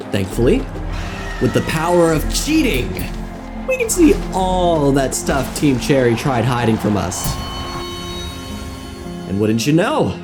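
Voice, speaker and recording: Slow, expressive male video essayist. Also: a little high. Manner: wry, deadpan asides. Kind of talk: scripted game lore narration. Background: music.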